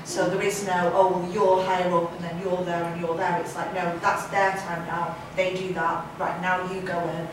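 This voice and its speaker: A woman's voice: on the low side, flat delivery, moderate pace, hardly any pauses. A woman talking informally as a film director on a panel. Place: indoor event room at a film festival panel. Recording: echoey room from far off, noisy.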